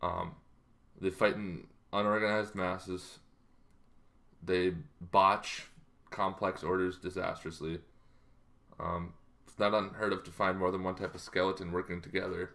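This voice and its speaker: A man in his thirties talking casually to camera in an explanatory way, halting and improvised, in a small bedroom. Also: low voice, level tone, vocal fry, a bit bright. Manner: halting, loosely improvised delivery. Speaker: tabletop roleplaying game vlogger.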